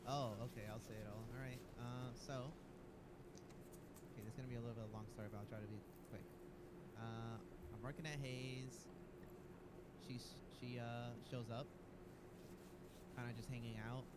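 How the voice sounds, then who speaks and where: A man's voice: mid-range voice, steady tone, moderate pace, pauses often. A man improvising in a roleplay game on a livestream, talking hesitantly and often repeating his words. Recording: clearly noisy livestream game audio.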